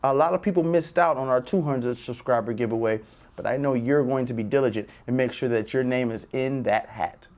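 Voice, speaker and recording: A man hosting a children's read-aloud, addressing young viewers directly with drawn-out emphasis. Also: mid-range voice, darkish tone. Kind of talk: presenting and reading a children's picture book.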